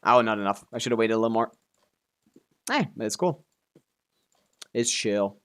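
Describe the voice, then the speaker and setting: A man's voice: on the low side, animated, normal speed, fairly bright tone, clean tone. A man live streaming a video game, chatting casually in a laid-back way with some offhand swearing as he comments on play.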